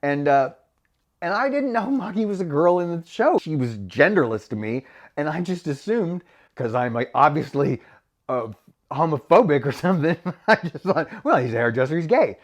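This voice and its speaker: Guy doing a candid vlog, super expressive pitch, blunt and chatty. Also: normal speed. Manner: animated, frank, laughs often. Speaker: voice actor and vlogger. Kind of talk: casual candid monologue.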